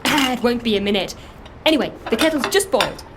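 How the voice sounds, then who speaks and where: Woman acting a high-voiced, reserved island recluse. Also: animated, fast talker, noisy background, lightly acted. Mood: polite, resolute. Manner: understated, polite, matter-of-fact. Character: reserved, literal-minded, hospitable female island recluse. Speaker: actor in an audio fiction series. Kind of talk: audio drama dialogue.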